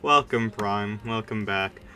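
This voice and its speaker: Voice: medium pitch, takes it slowly, bright, forceful tone, slight background noise. A streamer chatting casually during a game livestream in a rambling, informal and emphatic way. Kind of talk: casual livestream chat while gaming.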